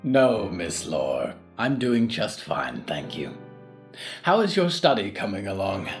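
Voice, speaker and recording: Guy acting as careful polite old-fashioned mentor in an audio drama, halting but really animated melody, bit of guitar behind. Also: fairly low pitch, heavily acted. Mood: gentle, courteous. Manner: halting, measured, courteous. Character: gentle, cautious confined mentor with odd scholarly musings. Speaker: teacher to a student.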